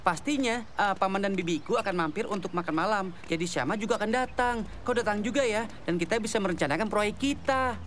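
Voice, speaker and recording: An eager young boy from a cartoon comedy, voiced by a grown-up, friendly and upbeat as he organizes his friends. His voice is mid-high, with some background ambience. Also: fairly quick, hardly any pauses, a bit bright, lightly acted. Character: friendly, eager twelve-year-old cartoon boy, team leader. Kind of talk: animated comedy cartoon dubbing. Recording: noisy ambience behind the voice.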